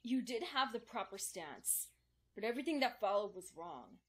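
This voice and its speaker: A woman playing a calm, exacting female unicorn mentor in a fan audio drama, speaking in a formal, instructive manner. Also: medium pitch, normal speed, natural voice.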